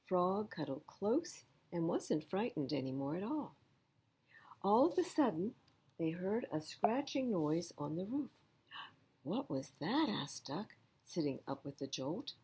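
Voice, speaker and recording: A woman reading a picture book aloud to children as an expressive storyteller. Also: on the low side, unhurried, breathy edge.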